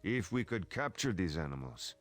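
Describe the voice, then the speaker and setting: Low-voiced stern Aztec commander. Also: male voice, very animated, moderate pace. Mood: stern, concerned. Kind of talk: scripted game dialogue. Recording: background music under the voice.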